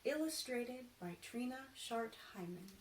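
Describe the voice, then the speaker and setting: A woman storyteller reading a children's picture book aloud with careful, deliberate narration. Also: a little high, animated, a little hiss or hum. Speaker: storyteller in princess character. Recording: roomy echo, distant microphone.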